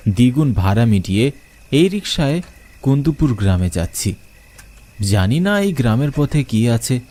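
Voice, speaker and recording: Young guy narrating a ghost story, warm dark voice, very animated delivery. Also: mid-range voice. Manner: expressive storytelling, rising in questions. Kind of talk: first-person horror story narration.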